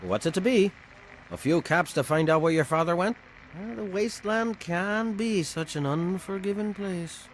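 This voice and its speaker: Sly, swooping wasteland dealer. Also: male voice, high voice, animated, moderate pace, a little hiss or hum, poor audio. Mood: smug, wheedling. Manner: smug, oily, teasing. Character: sly, mercenary wasteland information dealer, adult man.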